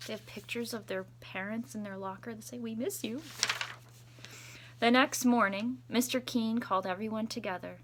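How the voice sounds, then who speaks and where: A woman's voice: fairly high pitch, steady tone, brisk, few pauses, noisy background. A woman reading a children's picture book aloud expressively, adding chatty asides as a school storytime reader.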